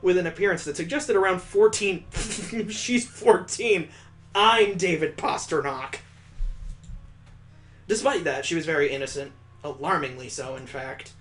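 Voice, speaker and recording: A man's voice: a little high, a little slow, a bit bright, faint music behind. A man reading a visual novel aloud as a let's play host, adding sarcastic, playful asides.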